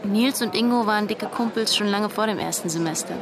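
An actress playing a spirited young tech graduate in a radio play, colloquial and quick to protest. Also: female voice, mid-range voice, a crowd in the background, noisy background, close to an everyday voice. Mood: upbeat, confident. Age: young adult.

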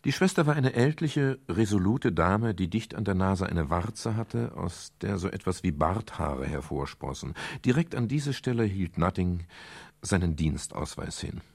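An actor playing a wry, world-weary private detective with a measured way of speaking, in a 1970s German radio crime drama. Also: male voice, low voice, lively intonation, vocal fry, no room sound, exaggerated voice. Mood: reflective.

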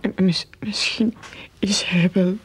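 Breathy, anxious old mother. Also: mid-range voice, steady tone, takes it slowly. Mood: worried, tearful. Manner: hesitant, stammering. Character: anxious, naive old widowed mother, frail and grateful. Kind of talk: radio play acting.

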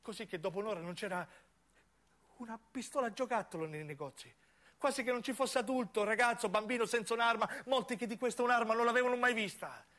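A man's voice: extremely high pitch, fast, bright, forceful tone. A man narrating a dramatic theatrical monologue in intense, solemn, broken phrases. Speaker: narrating stage actor.

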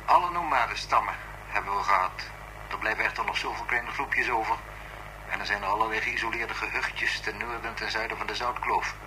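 A man acting a sober, methodical police inspector with a formal, measured manner in a Dutch radio drama. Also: lowish voice, lightly acted. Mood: sober, businesslike. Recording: noisy radio play recording.

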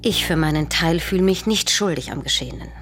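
A proud, defiant queen of Greek tragedy speaks in a lowish voice. Her delivery is imperious, formal and measured, as in a classical radio play, with faint music behind her. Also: fairly bright tone. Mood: defiant self-justification. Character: proud, defiant murderous queen and mother, middle-aged.

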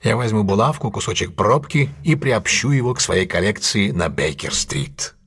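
An actor playing a shrewd, self-assured detective in an audio drama, with a husky voice and a brisk, imperious, theatrical manner. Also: male voice, fairly low pitch, expressive, fairly bright tone, full character voice. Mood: triumphant, scheming. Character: commanding, shrewd, self-assured male detective plotting a trap.

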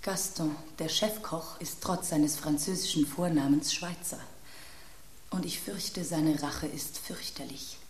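A woman's voice: deep voice, fairly even intonation, measured pace. Melodramatic poetic woman, radio play, roomy sound, faint hiss. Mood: fearful suspense. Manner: theatrical, exclamatory. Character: melodramatic, anxious, poetic woman at a tense dinner. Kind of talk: radio drama acting.

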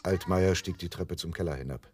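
A man's voice: low-pitched, brisk, darkish tone, breathy edge, no echo. An actor playing an anxious, hesitant man who narrates his own crime story in a German radio play. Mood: measured, reflective.

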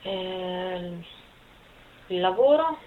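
A woman in her twenties answering interview questions casually, in a hesitant, halting way. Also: on the low side, lively intonation. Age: 20s. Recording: phone-like, thin sound with faint noise.

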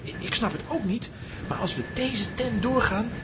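An earnest young adventurer, voiced by a woman in a children's radio play. The voice is fairly high and swings widely, emphatic and halting, with clear ambient noise behind it. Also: animated, lightly acted. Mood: worried. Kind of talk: children's audio drama acting.

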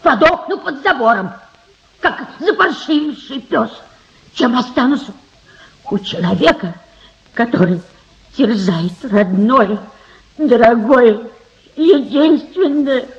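Slow-spoken, scolding old housemaid. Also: female voice, fairly high pitch, lively intonation. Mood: tearful indignation. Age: older. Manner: emphatic, indignant, theatrical. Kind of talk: radio drama acting. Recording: dull, muffled radio drama recording.

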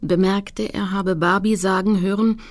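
An actress playing a determined female activist who recounts her campaign in sober, measured radio-play narration. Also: medium pitch, fairly even intonation, close to an everyday voice. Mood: sober recounting. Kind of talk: scripted first-person narration.

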